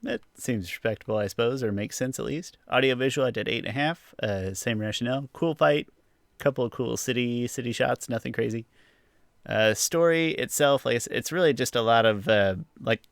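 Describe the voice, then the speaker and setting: A man's voice: medium pitch, fairly quick, gravelly, no room sound, clean studio sound. A man co-hosting a podcast, talking through an episode review in a casual, rambling way. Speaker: podcast co-host.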